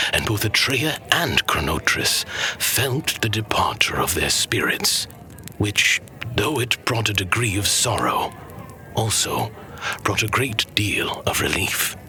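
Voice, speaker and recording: Man playing a hushed, slow-speaking wise master storyteller. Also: on the low side, sing-song, whispered, faint music behind, heavily acted, rough recording. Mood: solemn, reverent. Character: wise old male master telling legends to a pupil. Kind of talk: dramatic fantasy storytelling.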